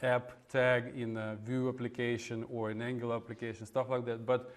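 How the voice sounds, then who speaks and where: Man, Russian accent, medium pitch, informal technical presenter, halting delivery, frequent pauses. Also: flat delivery. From Russia (Moscow). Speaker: conference speaker, web developer. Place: indoor meetup room in front of an audience.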